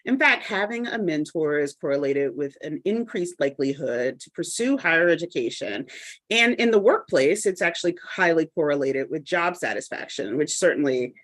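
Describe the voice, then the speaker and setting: A woman hosting a podcast, reading a measured, articulate introduction before interviewing her guest. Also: deep voice, lively intonation. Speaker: podcast host. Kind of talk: scripted introduction then interview.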